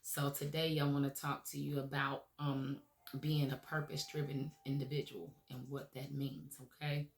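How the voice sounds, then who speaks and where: A woman hosting a motivational radio show, her voice raspy from recent laryngitis, talking earnestly and encouragingly with many "um"s and "okay?"s. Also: rumbling low, flat delivery, normal speed, echoey room. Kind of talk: motivational talk show monologue.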